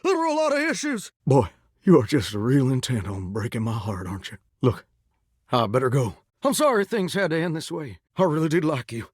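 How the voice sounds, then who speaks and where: A man's voice: mid-range voice, wildly swooping pitch, brisk, breathy edge, no room sound, studio quality. An actor voicing a vain, melodramatic male shower spirit who dreams of singing stardom, talking in a folksy, theatrical drawl for a video game. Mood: wounded, indignant. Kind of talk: video game character dialogue.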